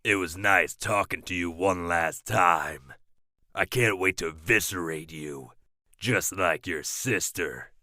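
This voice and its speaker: Guy doing a murderous villain for an audio drama, deep forceful voice, gloating and menacing. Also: slow pace, no room sound, exaggerated voice. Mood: gloating menace. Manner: menacing, taunting. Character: gloating murderous male villain, cruel and power-hungry.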